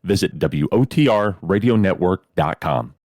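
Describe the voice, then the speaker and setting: A man's voice: sits low, animated, normal speed, background noise. A man reading a scripted radio station promo as an announcer.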